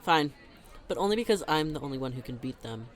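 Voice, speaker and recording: A man's voice: a little high, lively intonation, fast, a faint crowd, natural voice. A young man playing a teasing, chatty best friend in a conversational audio roleplay, talking in a casual, emphatic way. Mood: playful teasing. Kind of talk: conversational scripted roleplay monologue.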